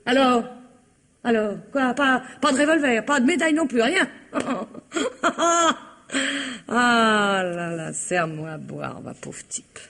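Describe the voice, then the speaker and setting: An actress playing Eva, a taunting, contemptuous wife in a vintage French radio drama, with a husky, mocking delivery. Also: female voice, on the high side, big pitch swings, slow pace, fairly bright tone, heavily acted.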